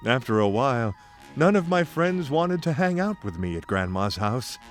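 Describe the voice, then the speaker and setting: A man's voice: mid-range voice, big pitch swings, normal speed. A man narrating a scripted first-person story in a theatrical, folksy, playful manner. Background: music bed.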